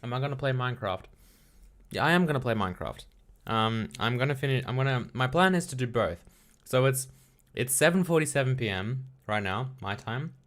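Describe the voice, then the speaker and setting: A man's voice: medium pitch, brisk. A young man streaming live, thinking aloud while coding and chatting casually with viewers, often trailing off into mumbles. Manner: relaxed, self-deprecating and talkative with chat. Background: keyboard typing and clicks.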